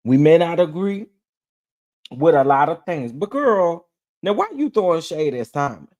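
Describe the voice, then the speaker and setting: A man giving sassy, scolding reaction commentary over an interview clip, with drawn-out exclamations. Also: a little high, sing-song, a little mellow.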